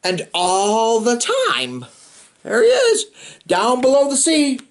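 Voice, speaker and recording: Animated, slow-paced children's storybook reader. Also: medium pitch. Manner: theatrical, playful, reacts to the story. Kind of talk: expressive read-aloud with chatty asides.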